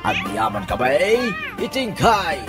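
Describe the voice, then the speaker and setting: A man's voice: fairly high pitch, huge pitch swings, takes it slowly, over music. A man with an Ilocano accent announcing the close of a radio drama. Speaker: programme announcer.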